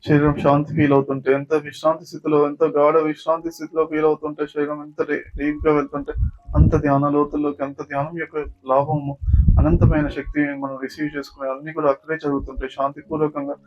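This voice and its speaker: A man with a Telugu accent leading a guided yoga nidra meditation as a teacher, giving slow, repetitive, soothing instructions. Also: on the high side, flat delivery. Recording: dull lo-fi sound with music. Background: background music.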